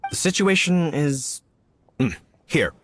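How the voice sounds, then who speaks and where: An actor playing an earnest, courteous young city knight in a video game, speaking in a formal, polite way. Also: male voice, fairly high pitch, very animated, slow, a little hiss or hum. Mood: grave, formal.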